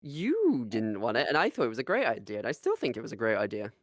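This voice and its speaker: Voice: mid-range voice, huge pitch swings, fast, no room sound, clean studio sound. A giggly, self-mocking podcast co-host who swears casually while chatting.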